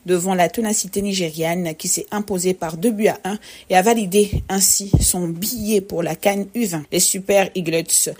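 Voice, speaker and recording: Newsreader woman, lowish voice, steady formal delivery. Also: normal speed, breathy edge. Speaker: news presenter. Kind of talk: read sports news bulletin.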